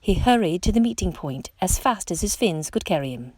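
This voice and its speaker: A woman reading a picture book aloud to children in an expressive, dramatic storytelling style. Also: lowish voice, fairly quick, no echo.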